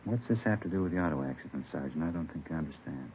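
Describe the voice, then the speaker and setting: Troubled american dad, really deep voice, talks fast, tinny hissy old radio. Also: male voice, lively intonation. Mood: defensive. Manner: earnest, troubled. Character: bewildered, grieving middle-aged father, polite but defensive. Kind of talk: 1940s-50s radio crime drama acting. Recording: tinny, noisy vintage radio recording.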